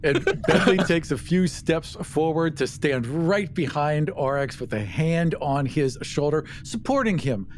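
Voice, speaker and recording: Higher-pitched man, casual game chatter. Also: lively intonation, measured pace, few pauses, slight background noise. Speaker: player in tabletop roleplaying game. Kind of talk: casual joking game chat.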